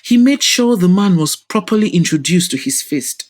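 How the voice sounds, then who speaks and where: A woman's voice: on the low side, lively intonation, moderate pace, darkish tone. Lady doing a arrogant middle aged boss man, pompous and sneering, defensive, audio drama style. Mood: scornful, indignant. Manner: pompous, scornful. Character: arrogant middle-aged businessman, defensive, mocking, self-righteous.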